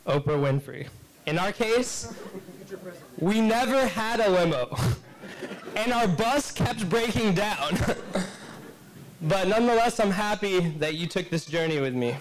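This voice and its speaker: A young man giving a prepared wedding speech, reading quotes and adding deadpan jokes, on a handheld mic in a banquet hall. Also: fairly high pitch. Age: young adult. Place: large banquet hall, indoors. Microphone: handheld microphone.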